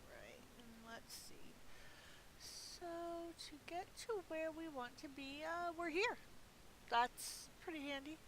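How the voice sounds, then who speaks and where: High-voiced woman chatting over gameplay, hissy recording. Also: very animated, slow pace. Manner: chatty, playful, thinking aloud. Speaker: gaming video host. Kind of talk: casual gameplay commentary. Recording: hissy recording with background noise.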